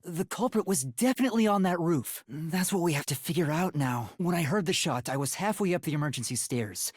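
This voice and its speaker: An actor playing an earnest, timid young male detective in a video game, reasoning deliberately and easily flustered. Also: on the high side, animated, slightly rough, dry recording, clean studio sound. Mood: pensive, analytical.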